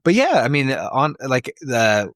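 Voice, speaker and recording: A man talks as a podcast co-host in loose, casual banter. He is blunt and emphatic, swearing freely as he gives his opinions. His voice sits at a middling pitch, with a very dry sound free of room echo. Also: huge pitch swings, unhurried, a bit bright.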